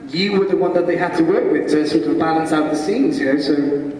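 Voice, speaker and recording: A man's voice: fairly high pitch, fairly quick, a little mellow, distant mic. Laughing Welsh man in an echoey hall. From Wales. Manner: self-deprecating, laughs often. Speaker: guest actor. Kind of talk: convention stage Q&A. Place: large convention hall stage.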